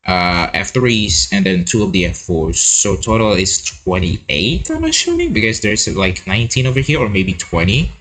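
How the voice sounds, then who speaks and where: A man giving casual, excitable reaction commentary, repeating words and breaking into his own read-aloud with asides. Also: lowish voice, normal speed, few pauses, low-quality recording. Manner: excitable, repeats words, interjects while reading. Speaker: host of a reaction video.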